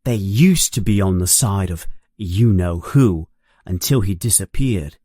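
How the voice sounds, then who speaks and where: Guy reading as a loyal schoolboy with a grudge, indignant, up and down pitch, audiobook. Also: lively intonation, a little slow, warm tone, no echo, clean studio sound. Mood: resentful. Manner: earnest, resentful.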